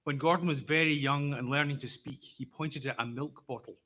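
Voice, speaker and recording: Scottish man, 50s, bright tone, slow and careful, wry eulogy teller. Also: on the high side, fairly even intonation. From Scotland. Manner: wry, gently humorous storytelling; deliberately slow, careful delivery. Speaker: eulogy speaker, brother of the deceased. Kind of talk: prepared memorial speech.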